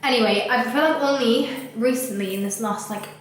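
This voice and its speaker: A woman's voice: a little high, a little fast, slight background noise. A woman, chatting casually in a vlog, gushing and full of fillers, a bit congested from a head cold. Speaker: lifestyle vlogger. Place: hotel room indoors. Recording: echoey room.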